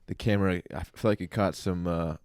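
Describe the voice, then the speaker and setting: Deep-voiced American man, joking casually. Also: fairly even intonation, a little fast, darkish tone, no room sound, studio quality. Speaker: podcast co-host. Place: small podcast studio.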